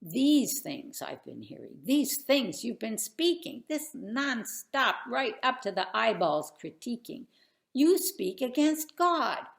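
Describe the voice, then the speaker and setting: A woman's voice: a little high, very animated, slow, vocal fry, voice pushed up, heavily acted. A woman voicing a brash young man who cuts in to scold everyone. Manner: brash, incredulous. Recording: roomy echo.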